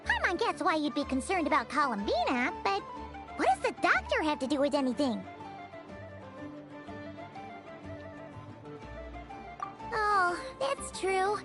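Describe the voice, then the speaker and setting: A tiny fairy companion from an animated game. The voice is extremely high and childlike, swooping up and down with chatty, perky excitement, over prominent music. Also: female voice, moderate pace. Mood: pondering. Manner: perky, chatty, refers to self in third person. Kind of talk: animated video game voice acting.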